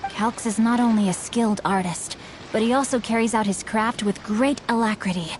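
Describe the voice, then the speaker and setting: A woman's voice: on the high side, fairly even intonation, moderate pace, slight background noise, close to an everyday voice. A woman voicing a polite, bookish and earnest boyish young nobleman in a video game, speaking in a formal, eloquent way. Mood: admiring. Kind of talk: video game character acting.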